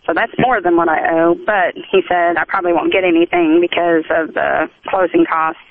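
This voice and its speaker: A woman's voice: on the low side, fairly even intonation, normal speed, a little hiss or hum. A woman calling in to a radio show, chatting casually and explaining in a hesitant, rambling way. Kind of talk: casual phone-in conversation. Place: phone call to a radio show. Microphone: telephone. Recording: narrow, tinny phone line.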